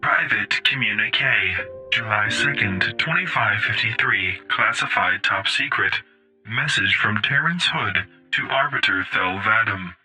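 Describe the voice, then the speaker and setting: An actor voicing an impersonal military communications system, reading message headers in a clipped way through a radio effect. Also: male voice, on the low side, expressive, a little slow, bright, forceful tone, faint music behind, full character voice, rough recording. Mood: impersonal, official. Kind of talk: formal message header readout.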